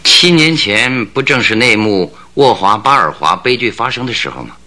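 Shrewd, sharp-voiced radio-drama detective. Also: male voice, lowish voice, lively intonation. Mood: probing, composed. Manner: deliberate, probing, theatrical. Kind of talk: Chinese radio drama acting.

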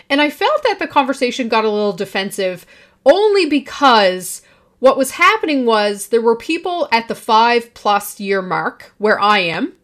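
A woman in her forties hosting a solo podcast, talking in a confident, projecting and emphatic way. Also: medium pitch. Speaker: podcast host.

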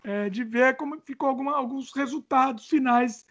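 A chatty woman with a Brazilian accent who hosts the talk and reads viewer questions aloud. She often stumbles over words and laughs them off. Her voice sits high. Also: moderate pace. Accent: Brazilian Portuguese. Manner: chatty, stumbles over reading, jokes about her age. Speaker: host reading viewer questions. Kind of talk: casual chat.

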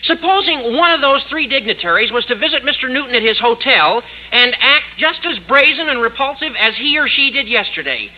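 A brash, eager teenage boy from an old radio comedy. His voice is very high and youthful, with slangy delivery. The recording is dull and lo-fi. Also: expressive, brisk, few pauses. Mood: eager scheming. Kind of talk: 1940s-50s radio sitcom acting.

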